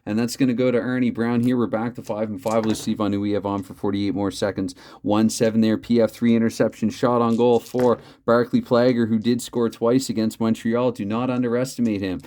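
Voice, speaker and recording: Deep-voiced man calling a tabletop hockey replay. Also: flat delivery, a little fast, hardly any pauses. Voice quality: loud voice, holding back. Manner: chatty, thinks aloud over the dice math. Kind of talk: mock play-by-play commentary between dice rolls.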